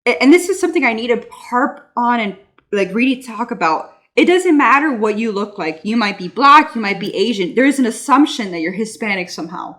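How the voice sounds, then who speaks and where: A woman's voice: on the high side, animated, fairly quick, a bit bright. A woman speaking as a panel guest in casual conversation, emphatic and often repeating herself for stress.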